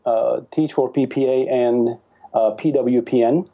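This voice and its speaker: Male guest from Turkey, very dark warm tone, friendly and hesitant podcast chat. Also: mid-range voice, steady tone, measured pace. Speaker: podcast guest, wedding photographer. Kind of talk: casual interview answers.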